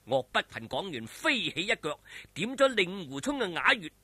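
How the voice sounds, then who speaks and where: A man with a Hong Kong Cantonese accent telling a story dramatically, with animated, suspenseful delivery. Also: sits high, moderate pace, breathy edge, dry recording.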